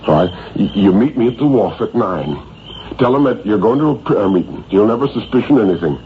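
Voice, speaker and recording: A man's voice: on the low side, lively intonation, fairly quick. A man voicing an urgent, imploring lover in a 1950s radio story. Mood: hurried, conspiratorial. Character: pleading, urgent male lover planning to elope. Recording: noisy, muffled 1950s radio recording.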